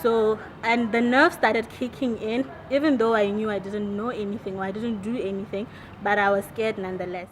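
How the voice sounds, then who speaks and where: A woman in her twenties from Botswana, telling her story in an animated way. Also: medium pitch, moderate pace, low-quality recording. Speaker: interviewee. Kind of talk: personal testimony.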